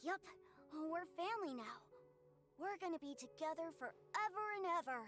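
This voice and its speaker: A woman's voice: very high voice, lively intonation, a bit bright. A woman voicing a small, innocent, hopeful orphan boy with a childlike manner in a video game. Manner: childlike, unhurried. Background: music.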